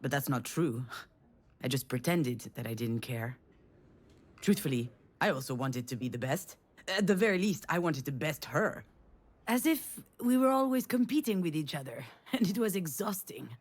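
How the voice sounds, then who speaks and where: A woman's voice: low-pitched, lively intonation, moderate pace, darkish tone. An actress playing a wry, self-deprecating failed screenwriter, confiding her thoughts as a game's narrator in a sardonic way. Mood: reflective. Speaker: narrator and protagonist. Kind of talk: first-person inner monologue in a narrative game.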